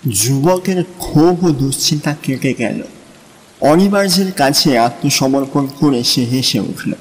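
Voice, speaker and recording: A man's voice: mid-range voice, animated, a little slow, breathy edge. A man narrating an audio story in a dramatic, scripted reading style. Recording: long reverb over music. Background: music, rain.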